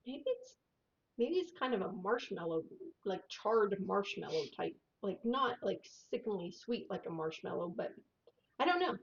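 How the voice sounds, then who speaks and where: A woman co-hosting a whiskey tasting livestream, talking softly and hesitantly with many fillers, picked up by a microphone above her. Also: medium pitch, very animated, moderate pace. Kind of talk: casual tasting chat. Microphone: overhead microphone above the table. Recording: dull lo-fi overhead mic.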